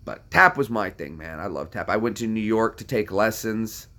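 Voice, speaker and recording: A man's voice: on the low side, moderate pace, bright, forceful tone. A young American man chatting casually to viewers, answering questions in a rambling, self-deprecating way with lots of fillers. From United States. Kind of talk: casual chat.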